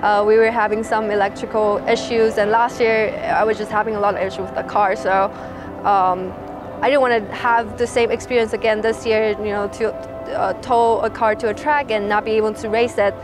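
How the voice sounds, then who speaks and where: A woman driver from Taiwan talks through her season in a casual interview. She speaks hesitantly with fillers, at a middle pitch. Strong music plays behind her. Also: little pitch movement, normal speed. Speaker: race car driver. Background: loud background music.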